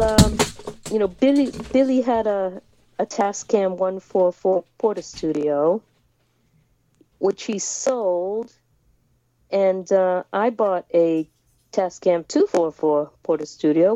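A woman's voice: medium pitch, dark tone, no room sound, lo-fi sound. A woman who grew up in the United States, chatting as a musician guest over a remote call, hesitant with many restarts and fillers. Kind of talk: casual interview chat over a remote call. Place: home in England.

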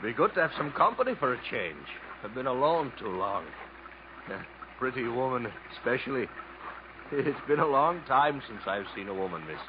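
An actor playing a lonely, leering trading-post keeper who sounds outwardly hospitable, clipped and oily, in 1950s radio drama style. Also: male voice, mid-range voice, big pitch swings, moderate pace, natural voice. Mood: insinuating, leering. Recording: muffled, noisy 1950s radio broadcast.

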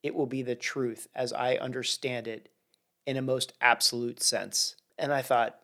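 A man's voice: mid-range voice, little pitch movement, normal speed, vocal fry, sharp tone. A man hosting a leadership podcast, interviewing his guest in an earnest, enthusiastic way. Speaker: podcast host.

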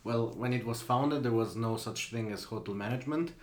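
A man's voice: mid-range voice, a little fast, roomy sound, a little hiss or hum. A man in his twenties from Slovenia, a student guest on a podcast, talking casually and hesitantly with many fillers. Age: 20s.

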